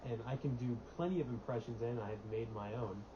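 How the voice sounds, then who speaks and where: A teenage boy chats easily on a homemade podcast and fills his pauses with "um" and "you know." His voice sits in the middle range. A dull lo-fi mic adds a steady hiss. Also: medium pitch. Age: teens. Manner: relaxed and chatty, with many fillers. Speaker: podcast co-host. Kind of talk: casual chat.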